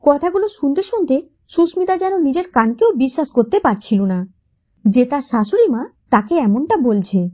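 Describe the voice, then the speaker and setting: High, mellow woman storyteller. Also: very animated, normal speed. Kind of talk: emotive scripted story narration.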